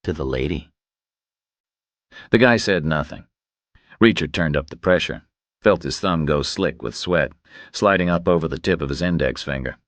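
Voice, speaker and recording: A man's voice: low voice, no room sound. A man reading a scripted thriller as an audiobook narrator.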